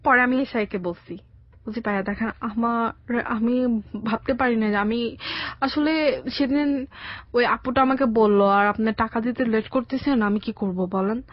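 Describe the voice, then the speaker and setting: A young woman with a Bangladeshi accent giving a halting, colloquial personal account as a radio interview guest. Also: fairly high pitch, no room sound, muffled recording. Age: young adult. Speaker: interview guest telling her life story. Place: radio studio.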